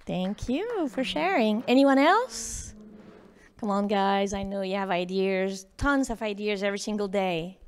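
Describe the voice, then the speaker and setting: A woman's voice: mid-range voice, animated, unhurried, clean tone. A woman leading a live workshop for students, urging them to share their ideas and asking them direct questions. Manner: coaxing, questions the audience directly. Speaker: workshop speaker. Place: lecture room with audience.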